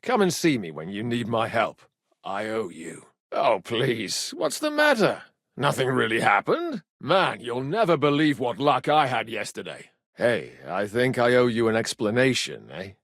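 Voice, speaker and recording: An actor playing a cheeky, good-humored medieval rogue and loyal younger brother in a video game, with colloquial, irreverent banter. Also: male voice, mid-range voice, very animated, unhurried, fairly bright tone, no room sound, clean studio sound, exaggerated voice. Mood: relaxed, earnest, then excited, friendly. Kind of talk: video game dialogue acting.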